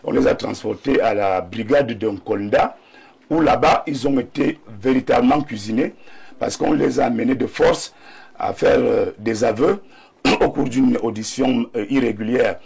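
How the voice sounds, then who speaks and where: Gravelly Cameroonian man with a cold. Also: medium pitch, normal speed, darkish tone. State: slight cold, throat clearing. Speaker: panelist. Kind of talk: live debate commentary.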